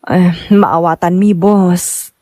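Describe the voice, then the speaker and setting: A woman playing a caring, cautious younger woman in an Ilocano radio drama, concerned and gently questioning. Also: fairly low pitch, fairly even intonation, takes it slowly, dry recording, clean studio sound. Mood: doubtful.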